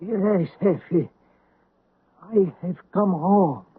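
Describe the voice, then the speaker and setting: A frail old man who has wandered home to die, his thin, raised voice airy and worn, every word drawn out slowly. It sounds like a tinny old radio broadcast. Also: sits high, husky, heavily acted. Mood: weary. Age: older. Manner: slow, pleading. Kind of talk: 1970s radio drama acting.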